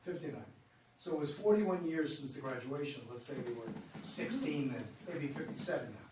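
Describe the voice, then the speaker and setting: A man's voice: fairly high pitch, lively intonation, a little fast. A man chatting casually in a group discussion after a play reading. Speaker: play reader giving feedback. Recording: very echoey room, distant mic, faint noise.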